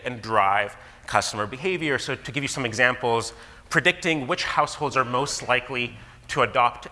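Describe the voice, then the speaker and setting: A man in his thirties, originally from Israel, giving a casual, animated conference talk as a guest speaker. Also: mid-range voice. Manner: casual, animated presenter with fillers. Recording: long hall reverb.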